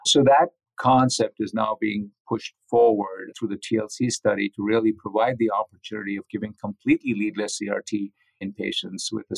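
A man's voice: on the low side, vocal fry, no echo, low-quality recording. A man speaking as a physician guest in an interview, explaining technical research with enthusiasm.

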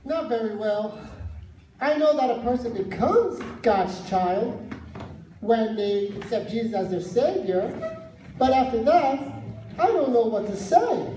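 A woman's voice: on the high side, animated, slow, dark tone, faint music behind. A woman voicing a curious young boy puppet in a church puppet show, talking eagerly and asking questions, recorded from far off in an echoey hall. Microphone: far camera.

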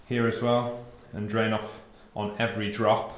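A man's voice: on the low side, level tone, measured pace. A man in his thirties with an English accent, giving a casual, laid-back hands-on DIY plumbing tutorial. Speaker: DIY renovator and plumber demonstrating pipework. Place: indoors, empty room under renovation. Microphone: handheld or propped camera at close range. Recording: echoey bare room, some noise.

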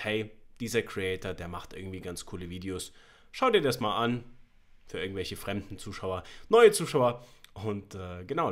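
A young man, slightly under the weather, casually addressing his viewers as a channel host. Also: lowish voice. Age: young adult. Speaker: YouTube host, horror story narrator. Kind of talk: casual intro chat to viewers. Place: small bedroom, indoors.

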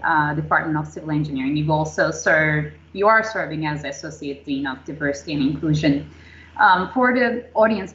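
A woman's voice: deep voice, a bit bright. A woman in her thirties hosting a livestream interview over a video call, chatty and friendly, with filler words. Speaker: host. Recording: muffled video call audio.

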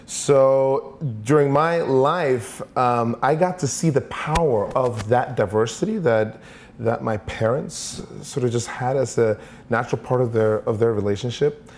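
A man's voice: medium pitch, measured pace, darkish tone, a little hiss or hum. A man in his forties from Sweden, answering interview questions in an office, thoughtful and often repeating words as he forms his ideas. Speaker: interview guest. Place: office with large windows.

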